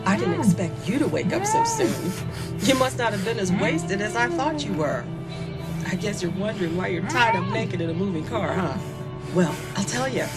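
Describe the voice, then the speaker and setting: A woman playing a gleefully sadistic killer in an audio horror drama, taunting in a mock-sweet, drawn-out way. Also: medium pitch, sing-song, loud music behind the voice.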